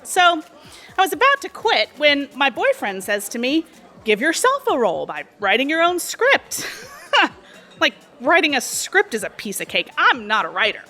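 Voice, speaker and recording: A woman's voice: sits high, wildly swooping pitch, normal speed, pushed and bright, faint music behind, heavily acted. An actress playing a chatty, pushy, sly cocktail waitress in her thirties, breezy and overly familiar, in an audio drama. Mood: chatty, griping. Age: 30s. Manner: breezy, familiar, insinuating, talkative.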